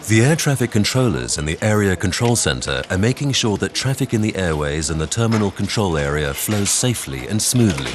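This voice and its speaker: A man reading scripted documentary narration in a measured, instructional way. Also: lowish voice, very animated, background noise. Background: background music.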